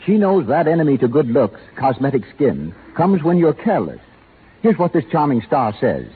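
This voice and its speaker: A man announcing in old-time radio style, polished and persuasive, his voice a bit high and very lively in pitch. It comes through a narrow, tinny broadcast with faint noise behind. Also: moderate pace. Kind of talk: 1930s radio commercial announcing.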